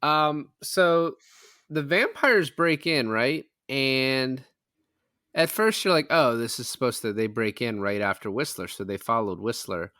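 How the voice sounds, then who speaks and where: A man's voice: medium pitch, lively intonation, unhurried, bright, forceful tone, dry recording, clean studio sound. A man in a casual film-recap chat, rambling along and acting out imagined lines as he goes.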